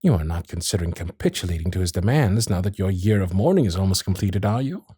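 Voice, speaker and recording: Guy doing a victorian gentleman suitor, low husky voice, formal and tender, really expressive, romantic asmr roleplay. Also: dry recording, clean studio sound, heavily acted. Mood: earnest, indignant. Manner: formal, old-fashioned, measured phrasing with dramatic pauses. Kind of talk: romantic period ASMR roleplay, intimate one-sided dialogue.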